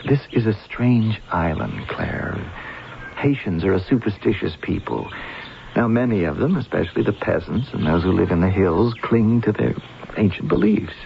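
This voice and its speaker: A man's voice: on the low side, expressive, normal speed, creaky. An actor playing a protective but evasive man in an old radio drama, reassuring yet hesitant and guarded. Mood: hesitant, grave. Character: evasive, protective man calming a worried darling. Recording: muffled, noisy old radio recording.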